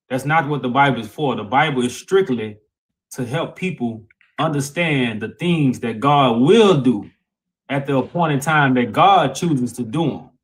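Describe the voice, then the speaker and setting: A man's voice: medium pitch, rough recording. A man co-hosting a religious live-stream panel, arguing and explaining with emphatic repetition and casual grammar. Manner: emphatic, repeats phrases, informal grammar. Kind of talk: heated live-stream debate and preaching.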